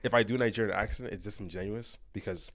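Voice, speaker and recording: A man's voice: fairly low pitch, fast talker, a bit bright. A man, hosting a casual podcast in a laid-back way. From United States. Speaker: podcast host.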